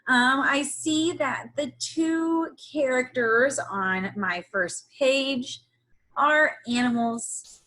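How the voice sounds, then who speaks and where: Slow, lively woman teaching young children. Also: fairly high pitch, poor audio. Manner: instructional, pausing to question young listeners. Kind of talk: children's read-aloud lesson with questions.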